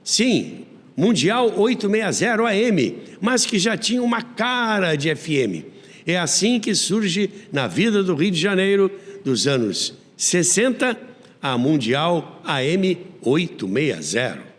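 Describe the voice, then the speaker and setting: A Brazilian man delivers scripted documentary narration as host. His pitch sits fairly high and moves a lot, while his pace is slow and measured, with a long reverb trailing the voice. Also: big pitch swings. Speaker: host and narrator of a radio history series.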